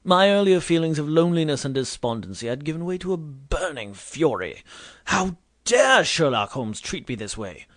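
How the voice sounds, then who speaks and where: A man's voice: on the high side, very animated, moderate pace, no room sound, clean studio sound. A man playing an indignant, self-important Victorian doctor in an audio drama, pompous and theatrical in formal phrasing. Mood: aggrieved. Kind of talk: audio drama acting and dramatic first-person narration.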